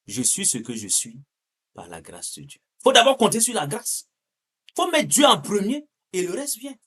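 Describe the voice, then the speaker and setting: A male preacher with a husky, rough-edged voice delivers an impassioned sermon. His pitch swings widely as he exhorts listeners directly, repeating key phrases to drive them in. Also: huge pitch swings, normal speed, a bit bright. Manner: addresses listeners directly with rhetorical questions. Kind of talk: impassioned sermon with Bible reading.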